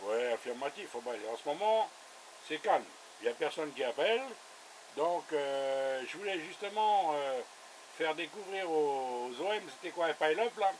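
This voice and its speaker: A man's voice: on the high side, expressive, moderate pace, a little hiss or hum, poor audio. A man chatting casually as an amateur radio operator, in a colloquial, easygoing way. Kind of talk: casual radio contact chat. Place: radio station with a camera running.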